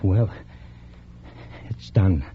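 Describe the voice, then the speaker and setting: Nervous, besotted lover, old radio thriller. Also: male voice, deep voice, expressive, extremely slow, heavily acted. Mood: uneasy, devoted. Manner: hesitant, stammering, repeating words. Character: besotted, nervous lover turned killer, betrayed by her. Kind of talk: 1940s-1950s American radio suspense acting. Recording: muffled, noisy old radio recording.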